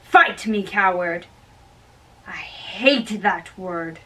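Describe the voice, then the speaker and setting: A woman giving an amateur dramatic reading of a play, performing all the parts in a theatrical, halting way. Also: mid-range voice, very noisy. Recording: distant microphone.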